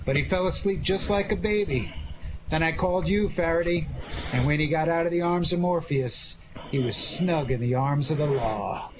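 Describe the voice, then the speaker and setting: Man playing unflappable radio sleuth, slightly high male voice, sardonic, slangy 1940s delivery, muffled recording. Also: moderate pace, natural voice. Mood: smug, teasing. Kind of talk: 1940s radio detective drama acting. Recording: dull lo-fi audio with faint noise.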